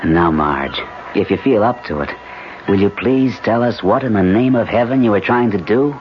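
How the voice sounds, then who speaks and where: A man's voice: fairly low pitch, animated, close to an everyday voice. An actor playing an anxious, earnest father of a young boy in a 1970s radio drama. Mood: grave, steady. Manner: earnest, halting when flustered. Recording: muffled, hissy old radio recording.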